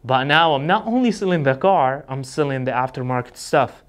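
A man's voice: medium pitch, animated, normal speed. Man giving an emphatic sales training talk. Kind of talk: instructional talk with acted example dialogues. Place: indoor studio with backdrop.

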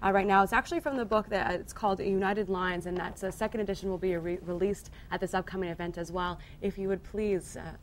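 Fast-talking upbeat female TV host. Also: mid-range voice, steady tone, slight background noise. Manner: upbeat, enthusiastic. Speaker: TV show co-host. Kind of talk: daytime TV interview. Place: TV studio set.

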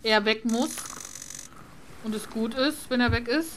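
A woman's voice: fairly high pitch, measured pace, a little hiss or hum. A woman doing casual let's play commentary, reading game text aloud and muttering to herself. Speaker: gaming let's player. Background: video game music.

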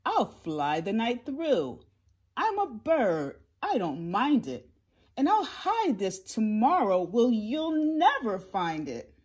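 A woman's voice: on the high side, huge pitch swings, slow, darkish tone, husky, full character voice. A woman voicing a mighty male eagle villain from a storybook, curt, sneering and taunting. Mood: sneering, defiant.

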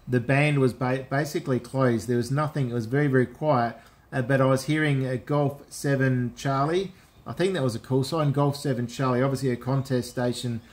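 An Australian man talks as an amateur radio operator in a casual, matey way. His voice sits at an average pitch, and he drops in radio call phrases as he goes. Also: fairly even intonation, fairly quick. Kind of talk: casual ham radio contact. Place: indoors at a radio set.

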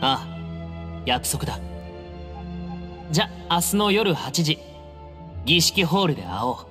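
A guarded young temple heir in an anime game. The voice sits fairly high for a man and moves expressively, yet stays composed and firm. It rings in a long hall over music. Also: expressive, a little slow, bright, forceful tone. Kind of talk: Japanese anime game voice acting. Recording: reverberant hall, music underneath.

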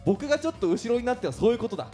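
A man's voice: extremely high pitch, very animated, very fast, dark tone, soft background music. A man co-hosting a talk show in casual, jokey, bantering chat. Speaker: radio show co-host.

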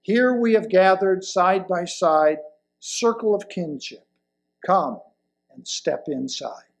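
An elderly man reading a sermon from a lectern, with deliberate, emphatic phrasing and pauses. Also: sits high, gravelly. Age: older, around 70s or 80s. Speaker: lay presenter reading a sermon. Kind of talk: sermon read from a pulpit. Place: indoors at a wooden lectern in a church hall. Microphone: lapel mic.

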